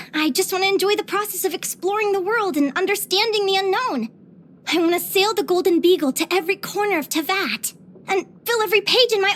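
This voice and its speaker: A young female adventurer from a fantasy video game. Her voice sits very high and girlish. She speaks with earnest, expressive wonder, like a cheerful naturalist who is curious about the whole world. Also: slight background noise. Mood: eager, animated. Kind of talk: video game character voice lines.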